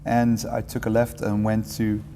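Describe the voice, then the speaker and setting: Mellow-voiced man in a reverberant room. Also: on the low side, moderate pace, slight background noise. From England. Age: 40s. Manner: relaxed, rambling, self-correcting with light humor. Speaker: guest, entrepreneurship teacher. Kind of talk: casual interview answers.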